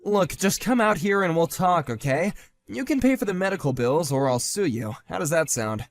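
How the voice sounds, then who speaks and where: A man voicing a calm, sardonic grown man who talks in a level, dry and cutting way, in a one-man voiced drama. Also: a little high, moderate pace, no room sound, soft background music.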